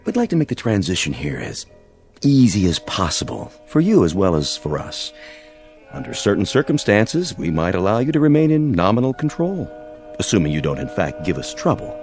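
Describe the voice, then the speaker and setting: A domineering American army major in a post-war radio play, sneering and sarcastic. His pitch swoops widely through slangy threats and taunts, over loud music. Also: wildly swooping pitch, normal speed. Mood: cold menace. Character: domineering, mocking army major enforcing order after nuclear war. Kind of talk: audio drama acting.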